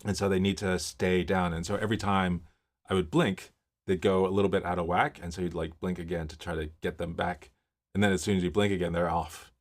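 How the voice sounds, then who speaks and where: A man's voice: sits low, steady tone, a little fast. A man in his fifties, originally from Miami, hosting a casual livestream and chatting through viewer comments with rambling stories and many fillers. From Miami, Florida. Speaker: livestream host. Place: home office.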